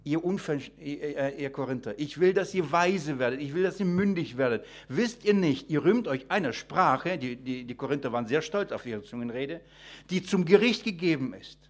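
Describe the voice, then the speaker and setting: A man's voice: on the high side, animated, normal speed. A man preaching an extemporaneous sermon, emphatic and full of rhetorical questions and repetition, often restarting his sentences.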